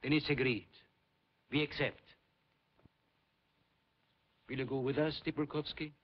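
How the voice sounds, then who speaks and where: A man's voice: a little high, steady tone, lightly acted. Man playing a formal resistance chairman, muffled sound. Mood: solemn. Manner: formal, deliberate. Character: sober chairman of underground resistance meeting. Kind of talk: 1950s film drama acting. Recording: muffled vintage film soundtrack.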